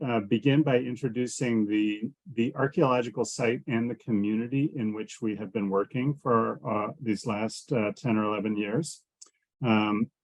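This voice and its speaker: A man archaeologist giving a virtual lecture, often pausing with fillers and restarting his phrases. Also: mid-range voice, darkish tone, no room sound, poor audio. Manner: hesitant, many fillers and restarts. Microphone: computer mic on a video call.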